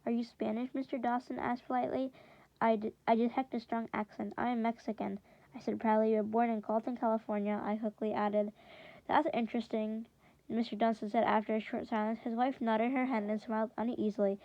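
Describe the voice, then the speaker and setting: A woman's voice: fairly high pitch, flat delivery, fairly quick, dry recording, lo-fi sound. A woman reading a first-person story aloud in the manner of a classroom read-aloud, now and then stumbling and repeating words. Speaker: reader reading a book chapter aloud for students.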